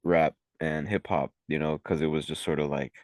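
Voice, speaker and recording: Casting: young guy rapper from Venezuela, extremely low voice, loose slangy rambling, dry close sound. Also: fairly even intonation, moderate pace, no room sound. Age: young adult. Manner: laid-back, rambling, heavy on "like" and slang. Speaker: rapper and recording engineer. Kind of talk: casual interview chat.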